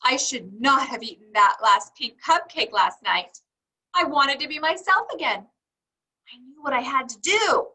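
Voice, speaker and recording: High, animated woman reading to young children. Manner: expressive, asking questions and pausing as if children answer; encouraging. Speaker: read-aloud teacher for young children. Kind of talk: animated storybook read-aloud with questions to young children.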